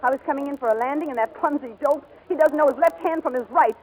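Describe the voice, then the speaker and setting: An actress playing a spirited young student pilot, indignant and plucky, in 1940s radio drama style. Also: female voice, high voice, quick pace, darkish tone, close to an everyday voice. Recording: noisy vintage 1940s radio recording.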